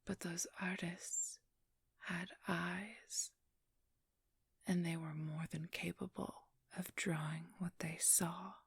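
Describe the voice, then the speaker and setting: A woman playing a cunning, haughty supernatural thief who poses as a scholar, speaking in a soft, precise, formal whisper for an ASMR roleplay. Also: fairly low pitch, level tone, slow pace, gravelly, breathy edge, no echo, studio quality, heavily acted. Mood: scholarly, assured.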